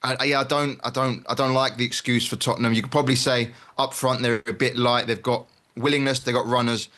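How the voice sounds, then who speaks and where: A man giving casual, candid football analysis as a pundit in a measured way. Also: medium pitch, fairly even intonation, sharp tone.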